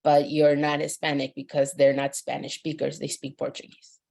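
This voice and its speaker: A woman from Mexico on a webinar panel, explaining terms in a measured way with many fillers. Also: deep voice, completely flat delivery, vocal fry, darkish tone.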